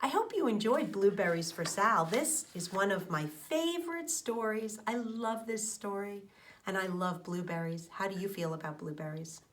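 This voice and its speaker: Female teacher, children's read-aloud, expressive mid-pitched voice with lively swings, explanatory. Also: moderate pace, slight background noise. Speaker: teacher reading aloud to her class.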